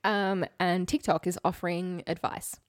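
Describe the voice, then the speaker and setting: Low-voiced young woman, dry close recording. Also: flat delivery, moderate pace, no room sound. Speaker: podcast co-host. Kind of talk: casual conversational chat and storytelling.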